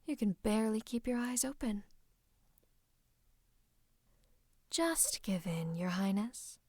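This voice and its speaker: A woman's voice: mid-range voice, expressive, moderate pace, dark tone, dry recording, close to an everyday voice. A woman playing a scheming royal advisor and sorcerer in an ASMR roleplay, smug and mock-courteous, slipping into menacing whispers. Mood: gloating, hypnotic. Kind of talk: ASMR roleplay, theatrical monologue to the listener.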